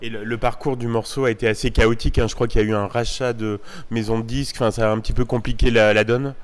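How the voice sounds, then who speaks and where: Man, interviewer, casual, encouraging, mid-pitched voice. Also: little pitch movement, quick pace. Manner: encouraging, frequent short agreements. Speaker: interviewer, host. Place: music venue.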